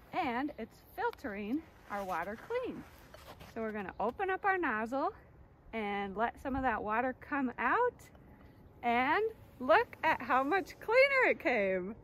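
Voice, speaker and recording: A woman's voice: a little high, a bit bright. A young adult woman giving an educational demonstration outdoors for viewers. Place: snowy yard outdoors. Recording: outdoor camera audio, faint noise.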